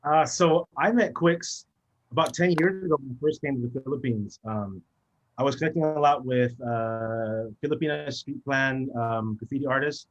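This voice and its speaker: A man's voice: medium pitch, normal speed, muffled recording. A man from the Bay Area in California chatting easygoingly as a guest on a talk show. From San Francisco Bay Area, California. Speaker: guest, mural painter and collector. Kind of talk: casual interview chat.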